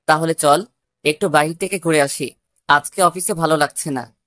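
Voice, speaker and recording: A woman's voice: low voice, normal speed, a bit bright, dry recording. A woman narrating a scripted first-person love story.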